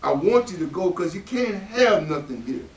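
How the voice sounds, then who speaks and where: A man's voice: sits high, very animated, normal speed. A man with a Southern American accent playing an embittered, protective father in a stage reading, in emphatic folksy speech. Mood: earnest, tender. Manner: emphatic, folksy vernacular speech with dramatic swells. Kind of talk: stage readers theatre acting. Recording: echoey room, distant stage microphone.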